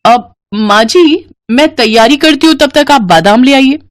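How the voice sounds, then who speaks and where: Woman as a high, wheedling sly young wife. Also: measured pace, dry recording, clean studio sound. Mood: falsely sweet. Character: sly, lazy young married twin sister. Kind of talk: acted dialogue in a Hindi moral story.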